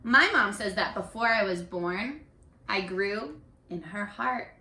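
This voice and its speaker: A woman reading a picture book aloud to young children in an expressive, instructive way, speaking to them directly as a teacher. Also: medium pitch, slow. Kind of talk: read-aloud for young children with questions to the listeners. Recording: echoey room, distant microphone.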